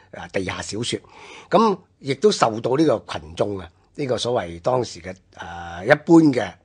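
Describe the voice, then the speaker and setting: A man with a Hong Kong Cantonese accent explaining history on a radio programme in a halting, discursive way. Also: mid-range voice, breathy edge. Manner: halting, discursive, with drawn-out phrase-ending particles. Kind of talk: unscripted explanatory history talk.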